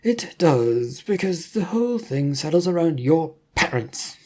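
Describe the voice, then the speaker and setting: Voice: mid-range voice, sing-song, a little slow, dark tone, pitched above the performer's own voice. A storyteller voicing a thin, small government agent who speaks in an urgent, formal, insistent way.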